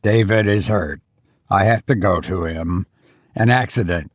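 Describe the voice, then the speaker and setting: A man's voice: lowish voice, slow, no echo, studio quality, pitched below the performer's own voice, exaggerated voice. A man voicing a weary, grief-stricken doctor and father in an audiobook, subdued and restrained. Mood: tired, gentle. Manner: monotone, subdued, tired. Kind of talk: dramatic audiobook character acting.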